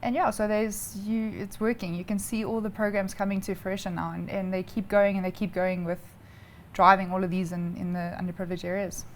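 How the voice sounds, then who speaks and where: A woman's voice: medium pitch, level tone, a little fast, a little hiss or hum. Chatty South African woman explaining. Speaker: interview guest, air traffic controller. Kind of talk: informal explanatory interview answers.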